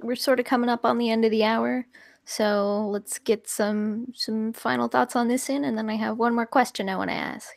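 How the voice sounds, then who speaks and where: A woman's voice: fairly high pitch, fairly even intonation, normal speed, gravelly, no room sound. A woman casually moderating a podcast panel, steering the discussion and inviting people to speak.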